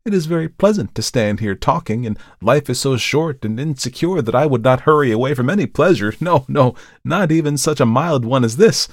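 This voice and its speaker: A man's voice: mid-range voice, lively intonation, brisk, few pauses, no room sound, studio quality, pitched above the performer's own voice. A man voicing an agitated, brooding male character in a dramatic storybook reading, speaking with bitter, pleading intensity. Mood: feverish, pleading. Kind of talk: dramatic audiobook character acting.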